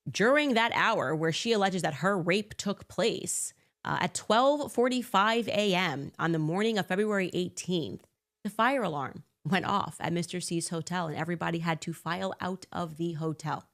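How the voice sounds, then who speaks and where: Woman, podcast host, low-pitched voice, sarcastic commentary, incredulous asides. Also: normal speed, fairly bright tone. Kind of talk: commentary monologue.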